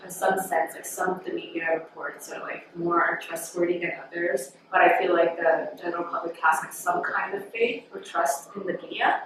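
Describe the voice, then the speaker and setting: A woman's voice: lowish voice, little pitch movement, moderate pace, hardly any pauses. A woman giving casual, hesitant interview answers full of fillers. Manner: hesitant, filler-heavy, trails off quietly. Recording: very echoey room, slightly distant, faint noise.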